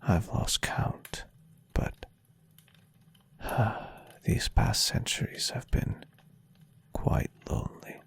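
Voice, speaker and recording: A man voicing a gentle, adoring, centuries-old vampire boyfriend in a soft-spoken, tender and unhurried ASMR roleplay. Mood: wistful, lonely. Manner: tender, breathy, unhurried, softly teasing.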